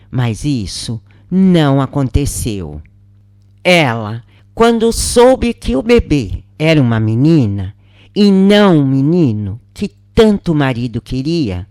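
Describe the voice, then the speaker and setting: A woman narrates a spiritual story very slowly and deliberately. Her pitch rises and falls a lot from line to line. The recording sounds dry and close, with no room echo. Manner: slow, expressive, emotional first-person reading. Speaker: channel narrator.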